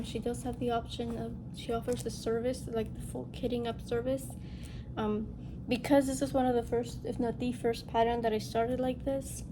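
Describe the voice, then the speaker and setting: Female craft vlogger, highish nasal voice, congested from illness, informal rambling chat, clear background noise. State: getting over a respiratory illness. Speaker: host of a cross-stitch video channel. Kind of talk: casual chat to viewers, craft show-and-tell.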